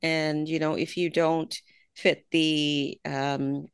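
A woman hosting a show, interviewing a guest and asking questions in a halting way with pauses and fillers. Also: low voice, flat delivery, smooth, no room sound, clean studio sound. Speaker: radio show host.

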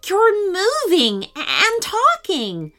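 A woman's voice: sits high, sing-song, unhurried, fairly bright tone, soft background music, pitched above the performer's own voice, heavily acted. A woman voicing a young boy, an anxious and questioning big brother, in a children's story. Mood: amazed, curious. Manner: questioning, concerned.

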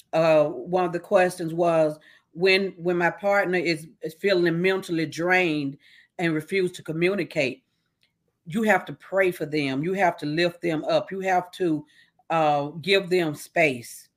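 A woman, a talk show guest, giving measured, preacher-like marriage advice point by point. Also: fairly low pitch. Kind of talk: casual interview answers.

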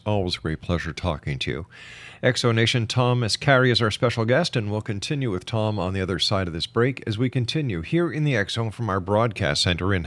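Deep-voiced radio host. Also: male voice, a bit bright, no room sound. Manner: polished announcer delivery. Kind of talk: radio show presenting and interviewing.

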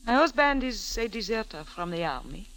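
A woman acting in a 1940s radio drama as a secretive, alluring young French wife, with a French accent and soft, deliberate, formal phrasing. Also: mid-range voice, very animated. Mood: guarded, earnest. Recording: vintage radio, faint background noise.

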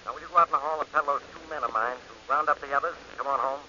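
A brisk police inspector on the phone. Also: male voice, medium pitch, pushed and bright. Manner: brisk, hurried. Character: brisk middle-aged male police inspector. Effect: telephone filter. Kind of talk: 1940s radio acting. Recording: dull old radio recording with hiss.

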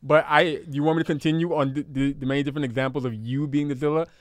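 A man's voice: on the high side, fairly even intonation, racing, no echo. A young man from Guyana, co-hosting a casual chat, teasing his partner and laughing as he argues. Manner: teasing, laughs often, playfully argumentative. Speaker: podcast co-host. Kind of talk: casual couple banter.

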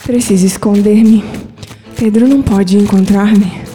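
A woman's voice: medium pitch, steady tone, unhurried, dark tone, big-room sound, soft background music, lightly acted. A woman playing a fearful, lovestruck fugitive from olden times with a Brazilian accent, speaking formally and breathily in a period audio drama. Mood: urgent, hushed.

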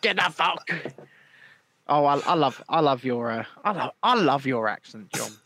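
A man's voice: mid-range voice, expressive, moderate pace, a bit bright. A man hosting a live stream, chatting casually in a teasing, sweary, jokey way. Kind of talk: casual bantering chat.

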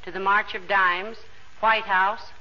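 Very bright-voiced woman on old radio. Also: medium pitch, steady tone, slow pace. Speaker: radio show star. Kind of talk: scripted 1940s radio charity appeal. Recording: muffled, faintly noisy old radio recording.

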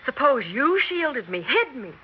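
A woman's voice: sits high, very animated, a little slow. An actress with an American accent playing a nervy, determined young woman playwright in a 1960s radio drama. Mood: insistent, persuasive. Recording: muffled 1960s radio recording, noticeable hiss.

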